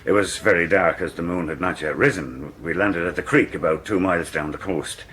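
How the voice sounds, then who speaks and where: A man's voice: low voice, brisk, a bit bright, a little hiss or hum. A man playing a deferential, hesitant fisherman, testifying in a radio drama. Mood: nervous. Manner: hesitant, stammering at first, deferential. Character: nervous, deferential Irish fisherman giving testimony.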